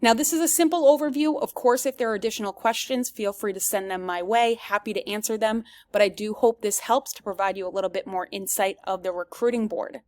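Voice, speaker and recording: Woman presenting a software demo, dry close sound. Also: medium pitch, a little fast, hardly any pauses, dry recording. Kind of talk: product demo walkthrough, semi-scripted presentation.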